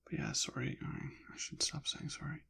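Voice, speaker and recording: A man rambling softly in an ASMR style, slipping in and out of a whisper, with a hesitant, halting, apologetic manner. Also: fairly high pitch, flat delivery, creaky, breathy edge. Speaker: ASMR channel creator. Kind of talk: soft-spoken ASMR ramble, partly whispered.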